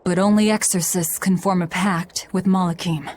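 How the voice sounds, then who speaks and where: Cold, low-voiced young woman avenger acted by a woman. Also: steady tone, moderate pace, a little mellow, slight background noise, lightly acted. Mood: curt. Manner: curt, stern. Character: cold, blunt, hardened young female avenger. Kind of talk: video game cutscene voice acting.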